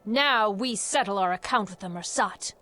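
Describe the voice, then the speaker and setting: A woman's voice: mid-range voice, moderate pace, bright, forceful tone, no echo, natural voice. A woman playing a fierce, commanding resistance leader in a video game cutscene. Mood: vengeful.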